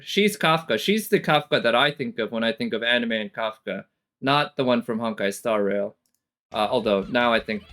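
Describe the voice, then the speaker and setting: A man's voice: medium pitch, fairly bright tone. A man giving casual, off-the-cuff commentary as a streamer, analytical and hesitant as he thinks aloud. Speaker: reaction streamer.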